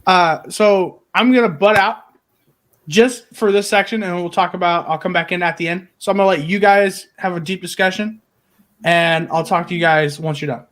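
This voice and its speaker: A man's voice: high-pitched, steady tone, normal speed, a bit bright. A man talks casually on a fan podcast. He is blunt and irreverent, swearing freely as he gives his opinions in an informal, conversational way. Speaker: podcast panelist. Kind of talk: casual chat.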